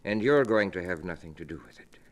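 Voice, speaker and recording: A man acting a calm, menacing older uncle, a quiet and controlled villain in a 1950s radio drama. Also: sits low, a little fast. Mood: cold menace. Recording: noisy old radio recording, off-mic.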